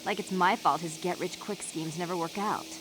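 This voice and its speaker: A woman's voice: on the low side, fairly even intonation, moderate pace, background noise, natural voice. An actress voicing a jaded, blunt young woman in a video game, exasperated and plain-spoken. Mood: exasperated, bitter. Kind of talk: video game character dialogue.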